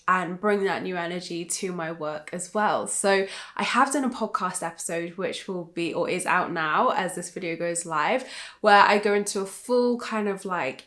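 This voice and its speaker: A woman's voice: lowish voice, fairly bright tone. A woman of thirty, a life coach, chatting casually to her vlog viewers, sounding a little nasal from a cold she is still getting over. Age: 30s. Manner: chatty and bubbly, many fillers like "like" and "um". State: recovering from a cold. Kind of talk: casual vlog chat to camera.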